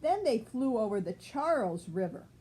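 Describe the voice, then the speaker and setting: Older woman, mellow, lively storybook reader. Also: a little high, moderate pace. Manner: simple, child-directed explaining. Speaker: grandmother reading a picture book aloud to a child. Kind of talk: storybook read-aloud with casual asides.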